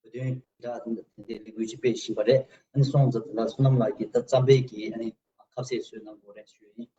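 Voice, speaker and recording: Guy talking super fast with restarts, dark tone, dull far-off sound. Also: mid-range voice, steady tone. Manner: rapid, halting, with restarts and simple grammar. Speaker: community leader and guest. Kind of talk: casual self-introduction. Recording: dull, distant mic.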